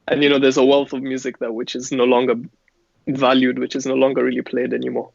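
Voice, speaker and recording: A man, a musician answering interview questions in a relaxed, unhurried way and laughing easily. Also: mid-range voice, vocal fry, no room sound, lo-fi sound. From Kenya. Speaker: musician guest.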